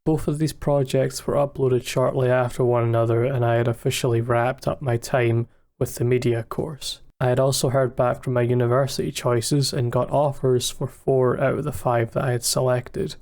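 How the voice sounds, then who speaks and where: A young man narrates in a mid-range voice with very little rise and fall. His delivery is measured and candid, like a scripted look back on his own experience. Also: mid-range voice, little pitch movement, darkish tone. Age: young adult. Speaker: student filmmaker narrating own video. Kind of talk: scripted retrospective voice-over narration.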